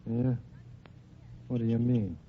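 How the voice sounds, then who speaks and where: A man's voice: mid-range voice, fairly even intonation, slow, slight background noise, natural voice. An actor playing a blunt, defensive gangster in an old radio crime drama, with slangy, ungrammatical tough-guy talk. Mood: grudging. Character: rough, defensive male gangster and nightclub boss. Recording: muffled old radio recording.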